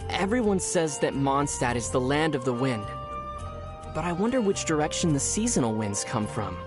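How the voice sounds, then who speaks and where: A man's voice: a little high, big pitch swings, moderate pace. A man voicing a young, curious, mild traveler from another world in a video game, musing and understated, with music behind. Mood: thoughtful, curious. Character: young male otherworldly traveler, curious, mild, dryly puzzled. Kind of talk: video game character dialogue.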